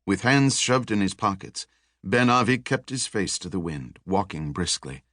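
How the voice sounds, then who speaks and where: A man reading scripted thriller narration in a measured, deliberate storytelling manner. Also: low-pitched, lively intonation, a bit bright, no echo, studio quality. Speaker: audiobook narrator.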